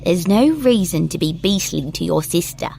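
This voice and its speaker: A woman's voice: lowish voice, animated, moderate pace, gravelly, mellow, slight background noise. A woman playing a stern, poised guide in an audio drama, speaking in an admonishing way. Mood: stern, reproving. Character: stern, poised female elevator guide who confronts passengers.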